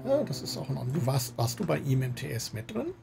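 A man's voice: mid-range voice, very animated, fast talker, creaky, over loud music. A man hosting a gaming livestream, chatting casually with guests and viewers. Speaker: livestream host. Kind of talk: casual gaming stream chat. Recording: faint background noise.

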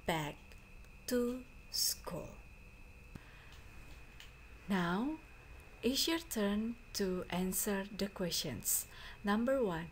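A woman teacher reading a storybook aloud to students in a deliberate, phrase-by-phrase way. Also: mid-range voice, a little hiss or hum. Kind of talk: slow storybook read-aloud for a class.

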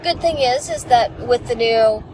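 A woman's voice: a little high, huge pitch swings, measured pace. Chatty woman in a noisy car. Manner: chatty, rambling storytelling. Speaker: passenger. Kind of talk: casual chat. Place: inside a moving car. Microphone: camera on the dashboard. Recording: clear road noise in a car.

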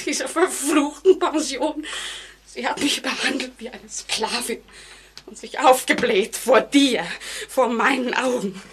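An actress playing a terrified, guilt-ridden woman in an old radio play, speaking haltingly with melodramatic anguish. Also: a little high. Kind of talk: 1940s German radio play acting. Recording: noisy old 1949 radio recording.

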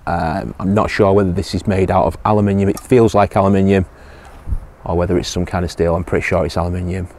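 A man's voice: low voice, level tone, fast, a little mellow, slight background noise. A man, casually reviewing survival gear outdoors on a hillside, hesitant with many ums and restarts.

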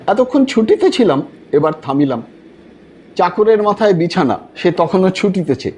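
A man narrating a literary story in an expressive, theatrical manner with a husky voice. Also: sits high, normal speed, a little hiss or hum. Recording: music bed behind the voice. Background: background music.

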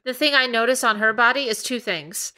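A woman reading amateur fiction aloud to a comedy panel in a deliberate way. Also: a little high, bright, forceful tone. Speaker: podcast reader.

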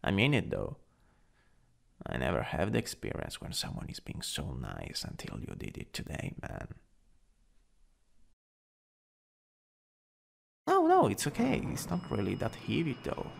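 A man acting an anxious, polite office worker in an ASMR boyfriend roleplay, halting and dramatic, with a breathy voice that often drops toward a whisper. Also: fairly low pitch, huge pitch swings, exaggerated voice. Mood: shy, grateful.

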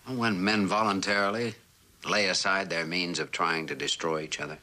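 An actor playing a driven, weary, idealistic scientist in a mid-century television drama, terse and authoritative. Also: male voice, low voice, fairly even intonation, normal speed, pushed and bright. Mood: grave, earnest.

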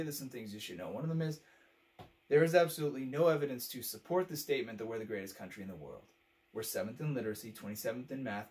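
A man's voice: medium pitch, close to an everyday voice. A man performing a dramatic monologue as a fed-up, brutally frank pundit, with a biting, emphatic, rhetorical delivery. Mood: cutting, matter-of-fact.

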